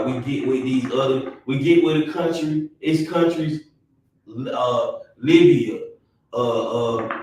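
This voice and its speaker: A man, hosting a heated casual debate and talking forcefully, with emphatic repetition. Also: a little high. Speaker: stream host. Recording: echoey room, mic at a distance.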